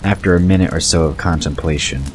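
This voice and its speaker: A man's voice: low-pitched, fast, noisy background. A man reading scripted narration for an audio drama.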